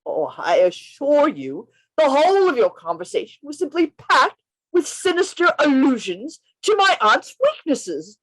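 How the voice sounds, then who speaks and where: Woman playing a very animated, theatrical gentleman adventurer. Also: high voice, measured pace, rough recording. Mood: mock indignant. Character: bold, theatrical gentleman adventurer narrating his own tale. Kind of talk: radio play reading, first-person narration. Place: remote reading over a video call.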